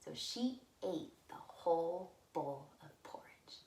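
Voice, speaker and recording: Female children's storyteller, very expressive melodic swings, unhurried, recorded in a roomy space. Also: lowish voice, in a whisper. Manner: simple, deliberate phrasing addressed to small children. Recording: roomy, echoey room sound.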